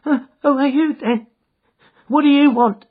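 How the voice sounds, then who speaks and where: A man's voice: high voice, lively intonation, normal speed, husky, pitched above the performer's own voice. A man voicing a nervous, frightened little man who whines in a quivering voice, heard as a tinny lo-fi audiobook recording. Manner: whining, quivering.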